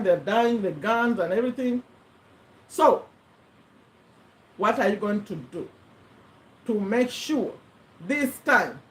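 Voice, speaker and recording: A woman in her fifties, originally from Uganda, talks straight to her viewers with a fairly high voice. She rambles earnestly, doubling back to correct herself and repeating words as she thinks aloud. It sounds like an unscripted vlog. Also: fairly bright tone, rough recording. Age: 50s. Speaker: vlogger. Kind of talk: casual talk to camera. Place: USA.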